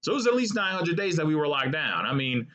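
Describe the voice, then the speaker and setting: Guy venting at his viewers, cussing, all over the place pitch-wise, kinda high voice. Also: fast, muffled recording. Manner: blunt, profane and animated, with rambling asides. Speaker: vlogger. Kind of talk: casual political rant to camera.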